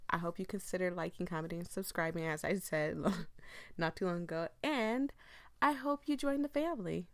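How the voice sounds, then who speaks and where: A woman's voice: on the low side, no room sound. A woman hosting a casual let's play, reading game text aloud and chatting apologetically to viewers as she breaks off her own sentences. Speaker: gaming video host.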